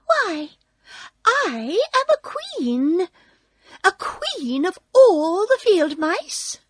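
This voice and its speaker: A woman voicing a tiny, proud queen of the field mice in a squeaky, imperious storybook voice. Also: very animated, extremely slow, no room sound, full character voice. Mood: indignant. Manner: imperious, emphatic. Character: tiny regal field mouse queen, female, indignant and proud.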